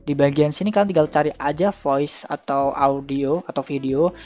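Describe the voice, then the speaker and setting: A young man presenting a casual software tutorial in an informal, slangy, chatty way. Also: a little high, moderate pace, no echo. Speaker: tutorial host. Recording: faint music under voice. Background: faint background music.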